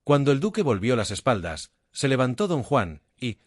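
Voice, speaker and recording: A male narrator reads a classic novella aloud with a lively, varied melody and a measured, literary delivery. His voice sits in a middle pitch and sounds dry and close, with no room echo. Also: slightly rough. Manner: expressive, measured literary reading.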